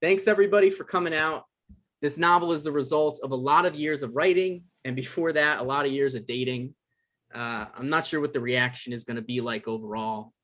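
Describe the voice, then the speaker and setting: A man's voice: mid-range voice, a little fast, fairly bright tone, lightly acted. An anxious male novelist with romantic troubles, played by a man at a table read. He is earnest and defensive, stumbling a little as he explains himself in a middling register. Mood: nervous, earnest. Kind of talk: video-call table read acting.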